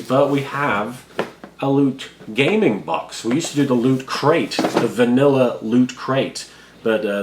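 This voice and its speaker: A man with a froggy, hoarse voice, chatting casually and self-deprecatingly as a YouTuber unboxing a subscription box. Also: medium pitch, slight background noise. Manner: self-deprecating, rambling, quiet-natured. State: hoarse, froggy voice after studio work. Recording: echoey room with faint background ambience.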